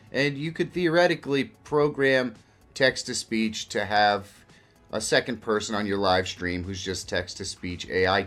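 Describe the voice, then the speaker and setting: A man hosting a casual software walkthrough as a video tutorial. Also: on the low side. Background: background music.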